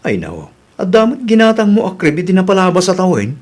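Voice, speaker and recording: A man's voice: sits high, huge pitch swings, quick pace, a little mellow, full character voice. A grumbling young husband and father-to-be, played by a male actor with a Filipino Ilocano accent. He sounds sceptical and thrifty, in the style of radio comedy drama.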